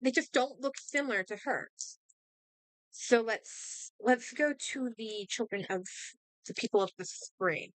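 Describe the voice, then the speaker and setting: A young woman with a sore throat talks her way through a game in a slow, thinking-aloud ramble. Her voice sits a little high and bright, with a smooth, clean tone. The sound is dry and close, with no room around it. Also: no room sound, low-quality recording. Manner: chatty, thinking aloud, trailing off. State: hiccups. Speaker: gaming streamer. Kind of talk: casual commentary while playing a game.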